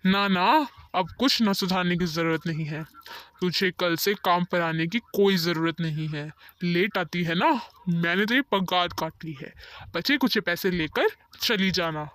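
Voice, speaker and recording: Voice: mid-range voice, a little slow, a bit bright, no echo, close to an everyday voice. An actor playing a harsh rich employer in a moral story, scolding and curt. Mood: angry, dismissive. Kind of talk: dramatized moral story dialogue.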